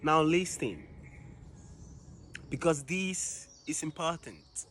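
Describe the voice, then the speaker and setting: A man with a slightly high voice delivers a reflective monologue very slowly and solemnly. He leaves long, deliberate pauses between phrases. Birdsong and light outdoor noise sit behind him. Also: lively intonation, fairly bright tone. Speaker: monologue performer. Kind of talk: dramatic reflective spoken-word monologue.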